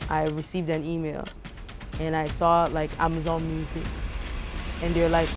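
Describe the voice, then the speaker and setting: A young woman from Nigeria, talking casually as an interview guest, relaxed and chatty with many fillers. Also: sits low, normal speed, over music.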